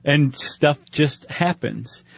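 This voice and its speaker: A man's voice: on the high side, takes it slowly, creaky, dry recording. An American man talking casually as a podcast co-host. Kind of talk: casual conversational podcast talk.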